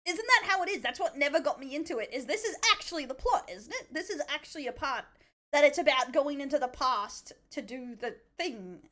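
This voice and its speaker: Female, Australian accent, high-pitched, very animated, casual streamer chat, blunt, giggly, crude humour. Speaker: streamer reacting to a video.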